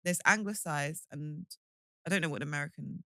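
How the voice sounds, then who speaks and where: Bright-voiced young woman. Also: low-pitched, fairly quick, dry recording. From United Kingdom. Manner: earnest, explaining, slangy. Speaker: podcast co-host. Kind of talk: casual chat.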